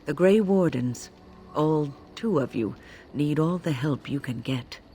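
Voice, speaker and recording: Steadfast, dutiful older mage. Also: female voice, low voice, lively intonation, dark tone, a little hiss or hum. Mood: resolute. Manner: measured, resolute, formal. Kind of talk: video game character acting.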